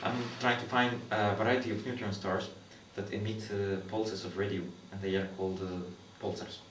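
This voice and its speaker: A young man from Guatemala talks through his astronomy research in halting second-language English, full of pauses and restarts. His voice sits on the low side. He is recorded from a distance in a roomy space, with faint noise behind him. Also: little pitch movement, fairly bright tone. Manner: halting, many fillers and restarts, thoughtful. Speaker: PhD student in astronomy. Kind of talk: interview, explaining his research.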